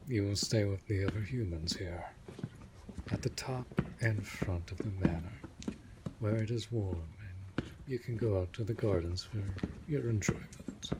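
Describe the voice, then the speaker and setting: A man playing a courtly, protective vampire lord in a roleplay audio drama, speaking softly and formally in measured, deliberate phrases. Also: lowish voice, very noisy, close to an everyday voice. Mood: courteous, guiding. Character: courtly, protective male vampire master of a manor.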